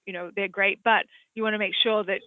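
An Australian man with a high voice speaks over a tinny, phone-like line. The sound is dry and close. He answers questions as a horse vet, wandering through his points with plenty of hesitant fillers. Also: level tone, brisk, no echo. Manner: rambling, hesitant, many fillers. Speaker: equine veterinarian. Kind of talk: expert answering audience questions.

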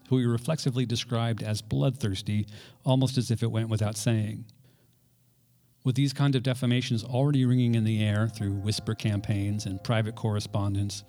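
A man reading scripted history as a podcast host, in a measured, literate storytelling manner with dry understatement. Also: on the low side, darkish tone.

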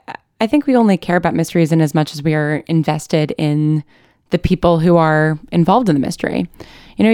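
A woman's voice: sits low, warm tone, dry recording, clean studio sound. A woman talking casually and thoughtfully as an interview guest, earnestly thinking aloud. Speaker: interview guest, audio drama writer.

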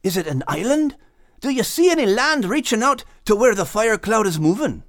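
A plucky Scottish dog from an animal storybook, speaking in folksy dialect. His voice is pitched high and swings with animation, earnest throughout, in a close dry recording. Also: big pitch swings, moderate pace, a bit bright, no room sound, heavily acted. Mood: uncertain, probing. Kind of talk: audiobook character acting.

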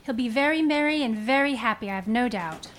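A woman playing a sharp-tongued, indignant wife and mother in a live radio play reading. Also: a little high, a little fast, slight background noise, lightly acted. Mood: grudging.

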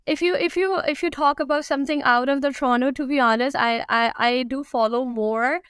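Woman, 30s, Pakistani accent, hesitant, fillers, crisp dry sound. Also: sits high, fairly even intonation, fairly quick, fairly bright tone, no echo. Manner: hesitant, many fillers and restarts. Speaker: guest, food blogger. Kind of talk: casual interview answers.

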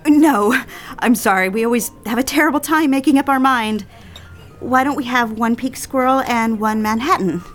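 An actress plays a naive young office girl with a fairly high voice. She is polite and proper, quick to apologise, in a period audio drama with soft music behind her. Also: lightly acted. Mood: polite, eager.

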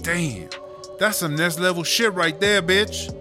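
A man's voice: sits high, a little slow, over music. A man playing a brash, foul-mouthed rap diva in a comedy audio drama, sassy and slangy. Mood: impressed, sassy.

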